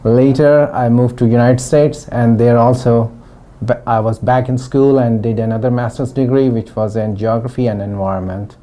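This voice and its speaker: A middle-aged man from Pakistan giving a testimonial interview about his training, talking in a halting way with frequent restarts. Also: mid-range voice, fairly even intonation, warm tone. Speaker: former student giving a testimonial.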